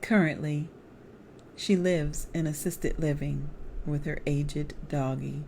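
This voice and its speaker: Casting: middle-aged American woman, low mellow voice, expressive memoir narration, slight background noise. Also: takes it slowly, gravelly. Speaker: author and evangelist reading from own book. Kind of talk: memoir read aloud.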